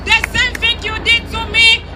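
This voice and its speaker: A woman from the Democratic Republic of Congo, with a Congolese accent and English as a later language, giving a slow, emphatic speech at an outdoor rally. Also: very high voice, completely flat delivery, music in the background. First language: not English; English is her fourth language. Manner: slow, emphatic, leads call-and-response chants. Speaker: rally speaker. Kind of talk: impassioned speech to an outdoor crowd. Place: outdoor street demonstration. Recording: noisy outdoor rally with crowd. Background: cheering crowd and traffic.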